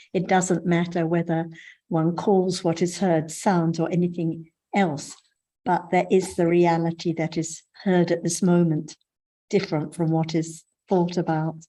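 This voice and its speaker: Mellow-voiced woman moderating a discussion. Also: on the low side. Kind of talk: calm explanation and moderating.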